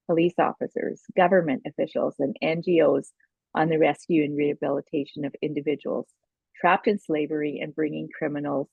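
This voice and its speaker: Lower-pitched woman host, dry, slightly dull sound. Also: vocal fry, husky, no room sound. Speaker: podcast co-host and interviewer. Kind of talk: conversational guest introduction.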